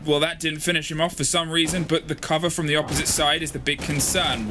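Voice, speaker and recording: Bright, high-voiced male esports caster. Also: a little hiss or hum. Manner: builds in pitch and energy with the action. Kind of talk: live esports commentary.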